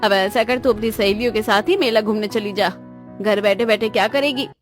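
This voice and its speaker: Woman voicing a lower-pitched, measured middle-aged mother. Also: faint music behind, natural voice. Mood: practical, firm. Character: middle-aged mother, gentle but firm with her children.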